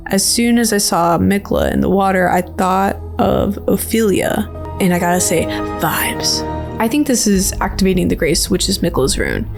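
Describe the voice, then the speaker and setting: A woman's voice: medium pitch, gravelly. Casual, playful woman over background music. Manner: informal, playful, slangy filler-heavy speech. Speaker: video creator and host. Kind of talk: casual rambling video game trailer commentary.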